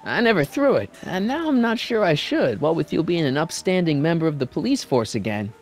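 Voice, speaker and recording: An actor playing an earnest, quick-witted teenage boy with an American accent and a casual, slangy delivery in a video game. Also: fairly high pitch, very animated, a little mellow, soft background music. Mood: upbeat.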